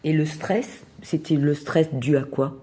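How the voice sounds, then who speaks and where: A woman asking simple, gently probing questions as an interviewer. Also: sits low, fairly even intonation, a little slow, slightly rough. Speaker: workshop interviewer. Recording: some background noise, room ambience.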